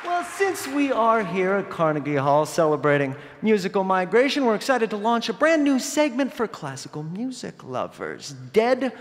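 A man's voice: sits high, wildly swooping pitch, moderate pace, few pauses, a faint crowd, slight background noise. A man hosting a live radio show in a concert hall, announcing the next segment. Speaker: host.

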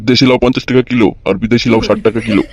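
A man playing a brisk, impatient street vegetable seller in an amateur cartoon. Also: medium pitch, fairly even intonation, close to an everyday voice. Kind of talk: amateur cartoon comedy dialogue.

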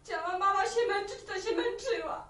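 Very high-pitched defiant village teenager. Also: female voice, normal speed, pushed and bright. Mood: defiant, tearful. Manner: petulant, plaintive. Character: defiant, aggrieved seventeen-year-old village daughter. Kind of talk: Polish radio serial acting. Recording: echoey, distant radio studio recording.

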